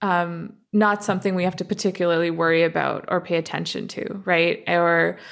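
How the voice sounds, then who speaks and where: A young woman who grew up in Canada, speaking as a guest in an interview and talking thoughtfully, with frequent pauses, fillers and restarts. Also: on the low side, level tone, creaky. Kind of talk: reflective interview talk.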